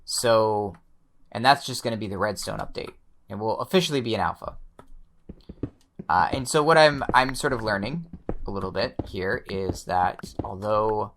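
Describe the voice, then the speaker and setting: A young man with a slightly hoarse voice, quietly talking through his gameplay, often pausing and restarting his sentences. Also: on the low side, animated, slight background noise. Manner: thoughtful, pauses and restarts sentences; soft-spoken, many fillers. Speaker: let's play host. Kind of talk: relaxed gameplay commentary.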